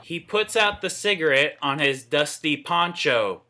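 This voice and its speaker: A man's voice: on the high side, expressive, measured pace, bright, forceful tone. A man reading scripted narration aloud in an informal read-through, with the odd slip and stumble. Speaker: table reader of script narration and dialogue.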